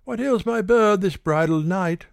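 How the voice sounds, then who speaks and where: A man's voice: high voice, measured pace, gravelly, clear, resonant tone, no room sound, clean studio sound, voice pushed up. A man voicing an ardent young shearer posing as a lord, wooing in a declamatory manner in a recited ballad.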